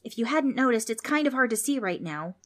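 A woman's voice: on the high side, animated, a little fast, voice pushed up, natural voice. A woman voicing a feisty, snappy young girl in a children's storybook. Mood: anxious. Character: feisty, bossy young girl, quick to snap.

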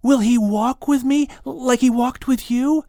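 A man voicing an innocent, earnest young boy in an audiobook, in a hushed, imploring way. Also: extremely high pitch, measured pace, dry recording, clean studio sound, voice pushed up. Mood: whispered pleading. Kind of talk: audiobook character acting.

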